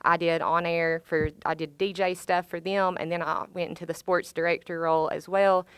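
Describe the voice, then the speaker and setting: A woman's voice: fairly low pitch, flat delivery, normal speed, smooth, dry recording. A woman, talking on a panel about her broadcasting career in an upbeat, conversational way. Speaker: alumni panelist, sports broadcaster. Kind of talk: panel talk.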